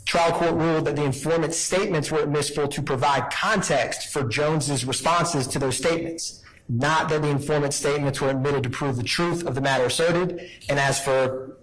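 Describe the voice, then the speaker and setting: A man's voice: medium pitch, rough recording. A man speaking as a prosecutor in a courtroom, making a formal, methodical legal argument with deference to the bench.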